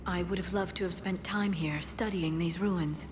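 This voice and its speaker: A woman's voice: lowish voice, steady tone, normal speed, noisy background, natural voice. A woman voicing a thoughtful, curious alien researcher in a video game, reflective and articulate. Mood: wistful.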